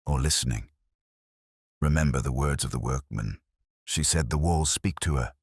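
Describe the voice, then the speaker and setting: A man voicing a calm, cerebral Victorian detective with measured, formal speech and quiet authority in an audiobook story. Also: extremely low pitch, breathy edge, dry recording, clean studio sound, heavily acted. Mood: coolly deductive.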